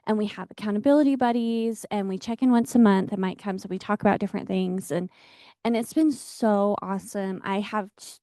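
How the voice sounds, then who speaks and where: Mellow-voiced woman rambling with fillers. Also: medium pitch, a little fast, no room sound, clean studio sound. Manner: rambling with frequent "like" fillers, enthusiastic. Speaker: team member sharing. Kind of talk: casual sharing at a meeting.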